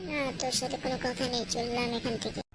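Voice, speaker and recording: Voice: medium pitch, little pitch movement, fast, lightly acted. A performer voicing a cheeky, argumentative young boy who scolds his elder in a comedy cartoon. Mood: angry, threatening. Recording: clearly noisy background.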